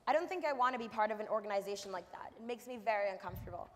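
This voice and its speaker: Woman acting a fast-talking troubled college student. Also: medium pitch, lively intonation, lightly acted. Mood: uneasy. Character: troubled young college student admitting worries. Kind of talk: staged readers theater monologue. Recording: echoey hall.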